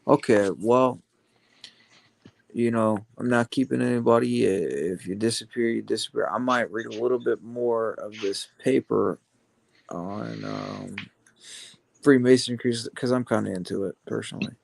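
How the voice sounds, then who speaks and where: A man hosting a casual livestream chat, rambling and halting with many fillers. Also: on the low side, vocal fry, a little mellow, rough recording. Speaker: livestream host.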